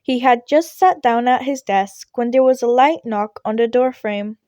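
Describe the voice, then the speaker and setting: A woman's voice: a little high, moderate pace, mellow, no room sound, clean studio sound. A woman reading a scripted story as an amateur narrator.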